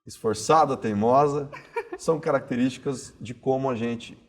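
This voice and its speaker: A man hosting an interview, asking thoughtful, philosophical questions in a calm conversational way. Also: medium pitch, normal speed.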